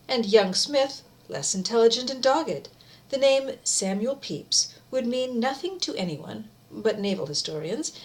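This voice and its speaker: A middle-aged woman speaking informally, as someone sharing and reading a favorite book aloud in an amateur video. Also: on the high side. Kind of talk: casual chat and amateur read-aloud of a book.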